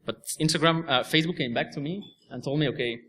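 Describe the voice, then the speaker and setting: A man's voice: fairly high pitch, quick pace, a bit bright, slight background noise. A young man from Belgium, a native Dutch speaker, giving a technical talk with a Belgian Dutch accent and explaining step by step with frequent restarts. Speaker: conference speaker.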